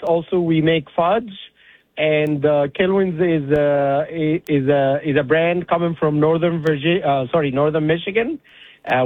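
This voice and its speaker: A man from Egypt who owns an ice cream shop, speaking politely with many pauses over the phone as a caller. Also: fairly high pitch, no echo. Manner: polite, hesitant with fillers. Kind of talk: phone interview. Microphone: phone line. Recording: tinny phone line.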